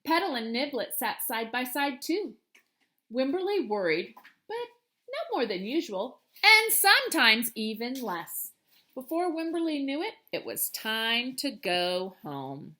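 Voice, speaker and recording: A woman teacher reading a children's storybook aloud with expressive, emphatic storytelling. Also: high voice, measured pace.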